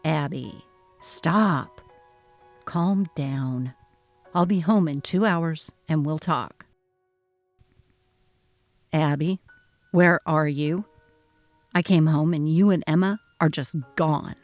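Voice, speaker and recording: A woman's voice: low-pitched, takes it slowly, pauses often, no echo, faint music behind, voice pushed down. A woman voicing a worried, conflict-avoidant young husband in a dramatic reading of a text-message story. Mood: defensive.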